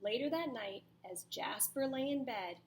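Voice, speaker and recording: A woman's voice: on the high side, lively intonation, a little hiss or hum. A woman teacher reading a storybook aloud to children expressively, with dramatic emphasis and asides to her listeners. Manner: dramatic pauses, emphatic, questions to young listeners.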